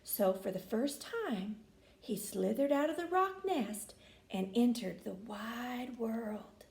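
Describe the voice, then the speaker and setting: A woman reading a children's picture book aloud with expressive, folksy inflection. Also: a little high, slow pace, creaky, roomy sound, a little hiss or hum. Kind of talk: animated children's story reading.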